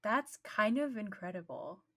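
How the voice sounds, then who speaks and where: A woman's voice: mid-range voice, expressive, a little slow, a bit bright. A woman hosting a podcast interview, talking casually and affirming her guest, with many "you know" and "right" fillers. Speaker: podcast host.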